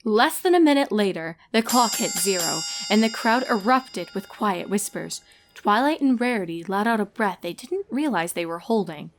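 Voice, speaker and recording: A woman reading scripted story narration in a measured, expressive storytelling manner. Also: medium pitch, soft background music. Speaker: fan fiction reader and narrator.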